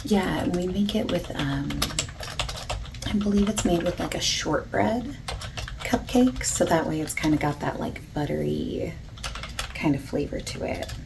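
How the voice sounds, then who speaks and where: A woman playing a friendly, patient bakery salesperson in a soft-spoken ASMR roleplay, often breathy and near a whisper, gently overexplaining. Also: a little slow. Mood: soft, accommodating. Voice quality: low-pitched, creaky, soft. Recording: lo-fi hissy close recording, keyboard typing.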